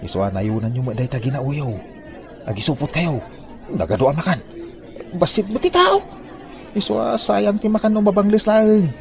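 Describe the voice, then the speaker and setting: A man's voice: on the high side, lively intonation, normal speed, gravelly, long pauses, a little mellow, some chatter behind. A man playing a scheming, gluttonous village husband in an Ilocano comedy drama, blustering and evasive. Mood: greedy urgency.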